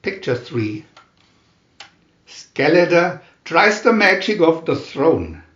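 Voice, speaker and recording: A man reading a storybook aloud as dramatic narration, in a theatrical, deliberate manner with emphatic announcements. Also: fairly high pitch, sing-song, a bit bright, roomy sound, lo-fi sound. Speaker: reader of picture-reel story booklets.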